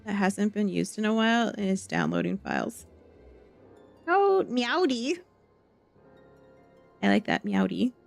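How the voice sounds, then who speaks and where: A woman's voice: mid-range voice, sing-song, a little slow, vocal fry, long pauses. A woman livestreaming a game, chatting casually and playfully and greeting viewers as she goes. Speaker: live game streamer. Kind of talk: casual livestream chat, reading game dialogue aloud. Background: faint background music.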